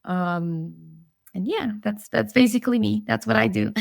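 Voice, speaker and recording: A woman in her thirties with a Mexican accent, speaking at a middle pitch with a lot of vocal fry. Her delivery is friendly and conversational, with frequent restarts. The sound is close and dry, with no room echo. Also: measured pace. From Monterrey, Mexico. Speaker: guest psychologist and sleep coach. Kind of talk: casual interview chat.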